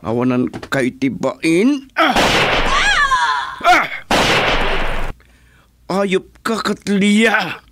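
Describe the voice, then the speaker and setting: A man's voice: high voice, very animated, very slow, long pauses, very noisy, heavily acted. A man playing a jealous, enraged son and betrayed husband in a radio drama, intense and agitated. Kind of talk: Ilocano radio drama acting.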